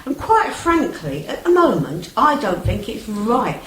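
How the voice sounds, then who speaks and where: An echoey, noisy recording carries a woman acting as a protective British lady in a radio play. She is prim and direct, with a fairly high, expressive voice. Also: measured pace. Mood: polite, protective. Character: protective, plain-spoken woman friend hosting with tea. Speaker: actor in amateur radio play. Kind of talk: scripted radio drama dialogue. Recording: echoey room with clear background noise.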